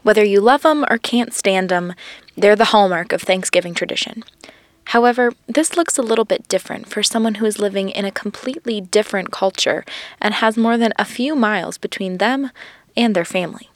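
A woman, a student reporter, narrating a scripted news feature in a measured delivery. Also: medium pitch, no room sound.

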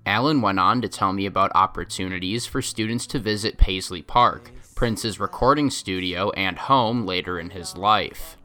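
A male student reporter reading a scripted news feature in an even, read-aloud delivery. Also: sits low, moderate pace, hardly any pauses, soft background music.

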